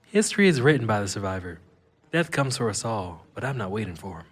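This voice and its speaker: A man's voice: lowish voice, sing-song, fast talker. A man playing a cocky, wisecracking fugitive with a mechanical tail in an audio drama, slangy and flippant. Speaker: lead character and narrator. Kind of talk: first-person audio drama narration and dialogue. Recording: long reverb, clear background noise.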